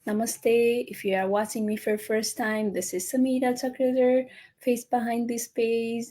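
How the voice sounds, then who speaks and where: A young woman from Nepal hosting a live online business talk, welcoming her guest with many pauses and fillers. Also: on the high side, little pitch movement, dark tone. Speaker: host.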